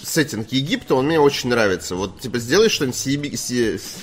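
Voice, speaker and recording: A man's voice: medium pitch, very animated, fast talker, soft background music. A man co-hosts a casual games news stream. He talks in loose, slangy sentences and wanders through his opinions as he goes. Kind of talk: casual live stream chat.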